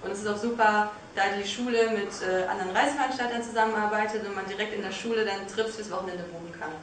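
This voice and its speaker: A woman's voice: medium pitch, steady tone, fast talker. A woman in her twenties from northern Germany, a language school student giving a casual, upbeat testimonial with many hesitant filler sounds. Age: 20s. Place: large bright indoor lobby. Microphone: far camera. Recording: echoey hall, distant mic, faint hiss.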